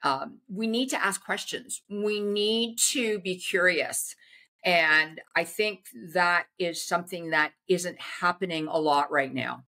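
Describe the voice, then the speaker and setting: A woman's voice: lowish voice, slow pace. A middle-aged woman as an interview guest on a video call, giving earnest, emphatic advice with some hesitant fillers. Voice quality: loud voice. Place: indoor home room. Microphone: video call webcam.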